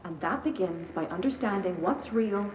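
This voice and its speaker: A woman playing a calm, detached psychiatrist in a game, asking measured, probing questions. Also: mid-range voice, natural voice. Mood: composed, probing. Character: calm, clinical female psychiatrist questioning a patient. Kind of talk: video game cutscene acting. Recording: roomy, distant, noisy.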